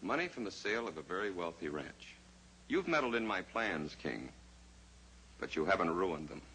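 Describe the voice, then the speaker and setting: A scheming male villain from an old radio serial. His voice is deep and forceful, with polite, deliberate menace. Faint noise sits on the old recording. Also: sing-song, heavily acted, rough recording. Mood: threatening, in control. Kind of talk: old-time radio drama acting.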